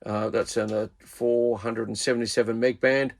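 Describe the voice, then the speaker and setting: A man's voice: mid-range voice, little pitch movement, normal speed, creaky. An Australian man in his fifties, a radio hobbyist doing a casual video review in a rambling, laid-back way and using plenty of slang.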